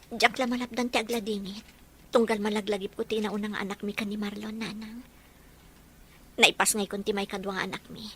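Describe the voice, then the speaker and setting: A woman's voice: medium pitch, little pitch movement, a little fast, pushed and bright, lightly acted. A woman playing a tender, wistful young wife and mother in an audio drama, with an Ilocano accent. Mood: grieving. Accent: Ilocano Filipino. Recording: faint background noise.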